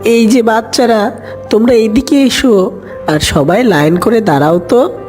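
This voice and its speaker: A motherly cartoon duck, played by a woman, fussing over the brood and quick to judge. The voice sits fairly high, with music playing underneath. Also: a little mellow, close to an everyday voice. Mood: motherly, concerned. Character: mother duck, fond of pretty chicks, dismissive. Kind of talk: animated fairy-tale dialogue.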